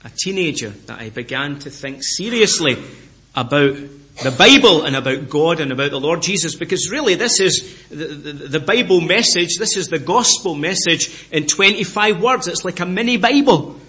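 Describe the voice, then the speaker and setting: A middle-aged Scottish man preaching with earnest conviction. His voice sits a little high and sounds bright and forceful. He often repeats a phrase and slows right down to press a point home. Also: animated. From Scotland. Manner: earnest, repeats phrases, stresses words slowly. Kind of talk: sermon.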